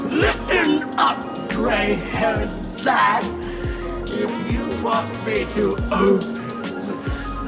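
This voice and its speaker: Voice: medium pitch, echoey room, distant mic. An actor voicing a fussy, melancholy talking tavern door in a video game, theatrical and prone to exclaiming.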